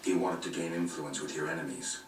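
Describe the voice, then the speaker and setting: An actor playing a gruff, stoic monster-hunting swordsman in a video game, terse and deadpan, with a gravelly voice. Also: low-pitched, a little fast, crowd noise, lightly acted. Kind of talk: video game cutscene acting. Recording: roomy game playback, distant, loud music behind.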